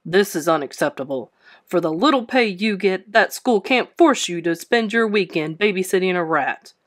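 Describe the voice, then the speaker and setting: A woman's voice: on the low side, animated, moderate pace. A woman as a growling, sour old man. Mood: sneering. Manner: growling, sneering. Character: sour, scowling old husband, grumpy and sneering.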